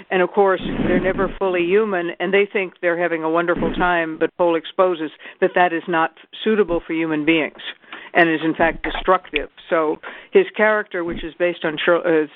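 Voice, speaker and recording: A woman's voice: fairly low pitch, no room sound. A woman literary scholar discussing books, precise and knowledgeable, correcting herself as she goes. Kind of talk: casual book discussion. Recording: dry tinny phone line.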